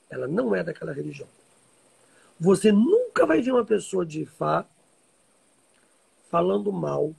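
A man's voice: high voice, vocal fry, husky, low-quality recording. A man with a Brazilian accent talking informally on a live stream to followers, emphatic and repeating phrases for stress. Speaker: religious teacher hosting a live stream. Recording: livestream audio reposted from social media.

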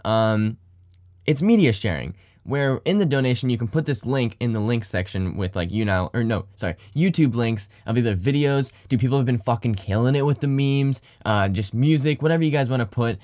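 A man's voice: mid-range voice, lively intonation, a little fast, a little mellow, no echo, clean studio sound. A young man vlogging casually to his viewers in his room, rambling, swearing often, his voice tired from not talking all day. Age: young adult. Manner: rambling, swears a lot, thankful. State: tired, slightly deep morning voice. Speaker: YouTuber and streamer. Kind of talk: casual vlog talk to camera. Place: small bedroom corner.

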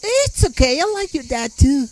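A woman's voice: high-pitched, very animated, moderate pace, a little hiss or hum. A woman voicing a cheeky, childish young ninja boy in a flippant way for a parody cartoon. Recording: music loud behind the voice.

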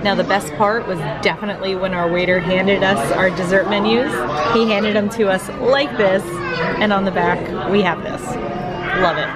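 A woman's voice: medium pitch, normal speed. A woman in her forties chatting upbeat to a handheld camera about a family dinner, with fillers. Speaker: vlogger. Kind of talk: casual family vlog. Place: busy restaurant and theme park. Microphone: handheld camera at arm's length. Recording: noisy crowded restaurant. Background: crowd chatter and music.

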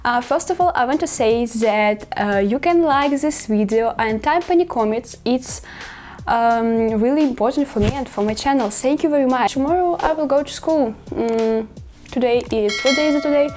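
A woman's voice: a little high, darkish tone. Teen girl with a Russian accent. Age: teens. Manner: halting, searching for words in English. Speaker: vlogger. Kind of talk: casual vlog chat. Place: bedroom at home.

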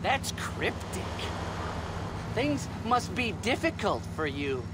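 An actor playing a creepy, unhinged male serial killer in a video game, talking in a soft, wheedling sing-song. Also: high-pitched, sing-song, slow, exaggerated voice. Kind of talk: video game cutscene dialogue. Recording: game audio with loud city noise.

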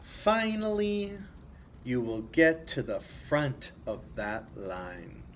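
A man's voice: medium pitch, big pitch swings, slow, a bit bright. A young man reading a children's picture book aloud, expressively, with asides to young listeners. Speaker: storytime reader. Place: small office room, indoors. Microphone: camera a few feet away. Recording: faint background noise.

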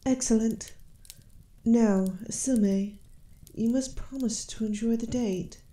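A woman playing a gracious, shrewd elf queen and mother in a fantasy audio roleplay, speaking softly in regal, measured phrases. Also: on the high side, fairly even intonation. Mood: commanding. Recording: roomy echo.

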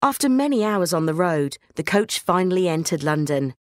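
Woman narrator for children's bedtime stories: expressive, animated pitch, dry sound. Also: moderate pace, dry recording. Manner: expressive storytelling. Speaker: storyteller.

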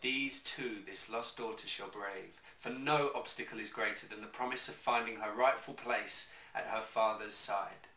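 A young man playing an energetic storyteller, narrating a pirate adventure dramatically for a radio play. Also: fairly low pitch, normal speed, few pauses. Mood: dramatic. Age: young adult. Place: small room, indoors. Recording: roomy, distant camera sound.

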